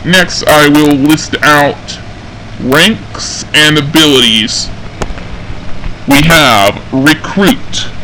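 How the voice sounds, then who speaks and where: Guy doing a sci-fi police officer logging a briefing, slow n methodical, bright voice, bit of background noise. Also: on the high side, low-quality recording. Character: male sci-fi police officer of an engineering unit. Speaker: roleplay game server member recording an audio log. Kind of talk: semi-scripted in-character briefing log.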